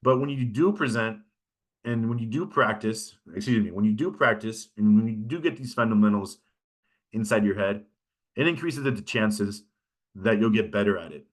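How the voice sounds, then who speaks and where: A man's voice: lowish voice, normal speed. Conversational Californian man teaching. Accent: Californian American. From California, United States. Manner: conversational, often checks in with "right?". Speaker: instructor giving a workshop on pitching. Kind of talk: informal lecture.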